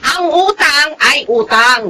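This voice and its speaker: A woman's voice: sits high, expressive, slow, bright, forceful tone, slight background noise, heavily acted. A woman playing an irate storekeeper in a Tagalog radio drama, scolding and contemptuous as she demands payment of debts. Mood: angry, contemptuous.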